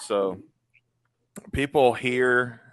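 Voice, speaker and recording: A man's voice: medium pitch, a bit bright, no room sound. Chatty Southern-accented man, dry sound. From Tupelo, Mississippi. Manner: chatty, laughs often, drops into quick impressions. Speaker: podcast host. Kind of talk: casual interview chat.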